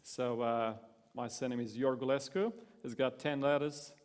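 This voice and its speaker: A man's voice: medium pitch, steady tone, moderate pace, a little mellow, clear, resonant tone, big-room sound. A man in his thirties, born in Romania, being interviewed on a church stage as guest pastor, earnest with self-deprecating humour. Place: church stage before a congregation.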